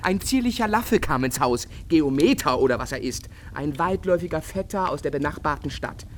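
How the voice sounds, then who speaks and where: A man's voice: on the high side, animated, brisk, slight background noise, lightly acted. A man playing a passionate, brooding young painter in love in a literary radio drama, declamatory and agitated, with old-fashioned diction. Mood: sarcastic, wounded.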